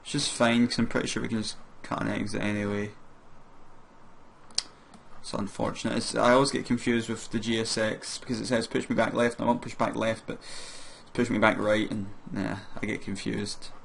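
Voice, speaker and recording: A man, a bit hoarse from a sore throat, chatting casually as he walks through each step of a flight simulator session. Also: fairly low pitch, little pitch movement, normal speed, a bit bright, slight background noise. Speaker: flight sim streamer. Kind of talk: casual gameplay commentary.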